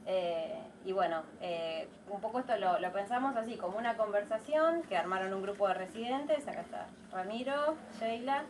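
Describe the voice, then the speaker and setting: A woman with an Argentine accent giving an informal, halting welcome as event presenter. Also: mid-range voice. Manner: hesitant, halting. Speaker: event presenter from hospital residency. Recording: slightly distant mic, noisy room.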